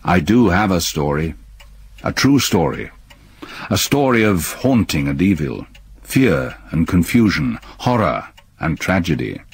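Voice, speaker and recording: An actor playing an older stepfather who narrates a haunting memory in a grave, measured voice with a husky tone. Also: low voice, big pitch swings, full character voice. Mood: haunted. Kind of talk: radio drama acting.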